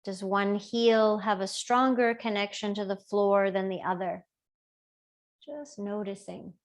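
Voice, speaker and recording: A woman teacher gently guiding a body scan meditation in an unhurried, soothing way with long pauses. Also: mid-range voice, level tone. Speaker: yoga and body awareness teacher.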